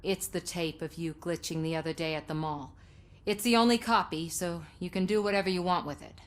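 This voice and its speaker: An actress playing an earnest, assertive television news reporter in a video game, polished and articulate. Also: female voice, fairly low pitch, brisk, lightly acted. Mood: conciliatory.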